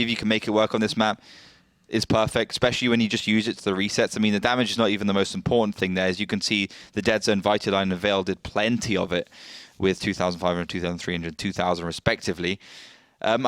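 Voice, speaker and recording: A man giving calm, analytical esports match commentary, often pausing on fillers. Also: on the low side, no echo. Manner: measured, analytical, frequent fillers.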